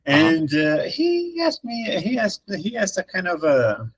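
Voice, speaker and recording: A man's voice: high voice, wildly swooping pitch, takes it slowly, husky. A man from Brazil with a Brazilian Portuguese accent, a native Portuguese speaker and English teacher, hosting a casual interview with hesitant, filler-laden speech. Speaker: podcast host, English teacher.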